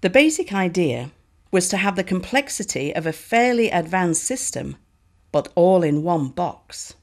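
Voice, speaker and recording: A woman giving scripted documentary narration in a clear, measured way. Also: lowish voice, big pitch swings. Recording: voice-over with background music.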